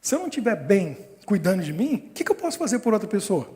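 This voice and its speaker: Brief: pastor, high mellow voice, chuckling storyteller, reverberant hall. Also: male voice, fast. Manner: lively storytelling with frequent chuckles. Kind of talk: sermon. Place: church hall.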